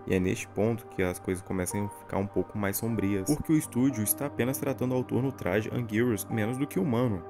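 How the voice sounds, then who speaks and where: A man with a Brazilian accent narrates a scripted horror recap. His voice sits on the low side. Music plays behind him as he reads. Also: quick pace, hardly any pauses. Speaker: video narrator.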